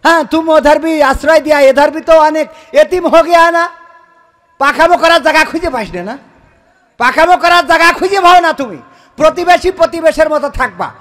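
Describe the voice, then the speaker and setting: A man's voice: very high voice, brisk, a bit bright. A man performing a comic skit in a colloquial, indignant, scolding manner. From Bangladesh. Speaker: comedian. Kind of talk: comic skit with mock phone call.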